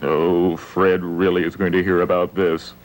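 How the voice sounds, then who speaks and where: A man's voice: low-pitched, little pitch movement, measured pace. A man playing a fussy, flustered and suspicious shoe salesman with a hesitant, stammering manner in a children's television sketch. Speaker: shop clerk character.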